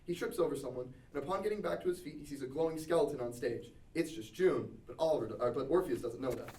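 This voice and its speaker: A man's voice: medium pitch, fast, a little mellow, slight background noise. A man reading scripted stage directions as narrator at a table read. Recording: echoey room, distant mic.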